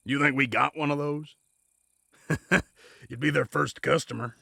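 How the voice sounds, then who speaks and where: A man playing a gruff but easygoing, weary gunslinger in a Western audio drama, with a folksy, laconic drawl. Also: a little high, fairly even intonation, a little slow, bright, forceful tone, no echo, clean studio sound, exaggerated voice. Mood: amused.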